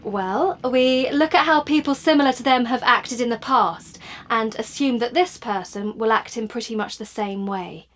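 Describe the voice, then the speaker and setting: An actress playing a coolly assured woman official in an audio play, lecturing in a deliberate, instructive way. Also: on the high side, fairly bright tone, close to an everyday voice. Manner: instructive, deliberate, checking listeners follow.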